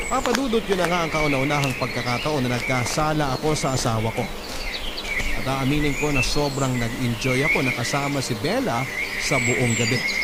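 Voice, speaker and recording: A young adult man narrating a dramatic first-person confession in an earnest, storytelling way. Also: mid-range voice. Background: loud music bed.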